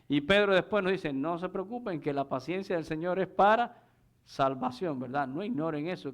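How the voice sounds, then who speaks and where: A man's voice: on the high side, fairly bright tone. A man preaching a sermon in a didactic manner, explaining scripture and putting rhetorical questions to his listeners. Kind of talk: sermon with scripture exposition and anecdotes.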